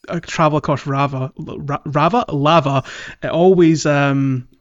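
A man hosting a gaming podcast in casual chat, with hesitant, halting phrasing. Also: on the high side, steady tone. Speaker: podcast host. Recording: roomy echo, slightly dull sound.

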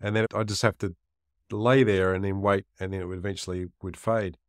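Male podcast host, quick, lowish voice, inquisitive, dry sound. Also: little pitch movement, no room sound. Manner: friendly, inquisitive. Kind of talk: interview hosting.

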